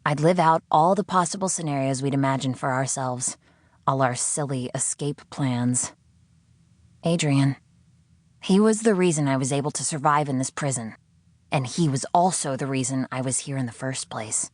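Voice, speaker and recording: Woman reading an audiobook novel, low voice, measured but expressive, super dry studio sound. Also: no echo. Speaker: audiobook narrator. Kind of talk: scripted first-person fiction narration.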